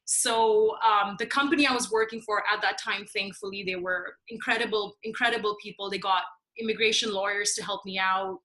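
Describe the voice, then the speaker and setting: A woman's voice: medium pitch, steady tone, brisk, sharp tone, low-quality recording. A woman in her late twenties from India and Nigeria, telling her personal story as an interview guest. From born in India, raised in Nigeria. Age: late 20s. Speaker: podcast guest, mental health advocate.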